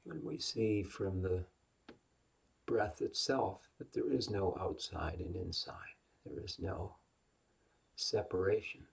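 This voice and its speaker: Slow, husky man guiding meditation. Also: deep voice. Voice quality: husky, breathy, gravelly. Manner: gentle, unhurried, long pauses. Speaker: yoga nidra meditation teacher. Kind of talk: guided meditation.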